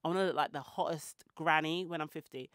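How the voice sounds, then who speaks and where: A woman's voice: sits low, fast, fairly bright tone, dry recording, studio quality. A woman in her forties hosting a podcast, chatting casually into a handheld mic in a small room and rambling into tangents and self-mocking asides. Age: 40s. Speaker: podcast host. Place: small indoor room with shelves and clothes rails. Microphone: handheld mic held close.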